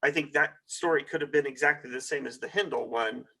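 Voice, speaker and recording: A man invited from the audience lays out his view at a measured, explanatory pace. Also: medium pitch, bright, forceful tone, breathy edge. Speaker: audience member invited to speak. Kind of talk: casual panel discussion.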